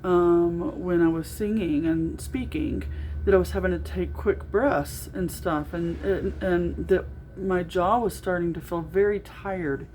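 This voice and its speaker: Loud-voiced middle-aged woman, rambling vlog. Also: fairly low pitch, fairly even intonation, unhurried, slight background noise, low-quality recording. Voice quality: naturally loud voice. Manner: rambling, with frequent ums and restarts. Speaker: vlogger. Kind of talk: casual video diary.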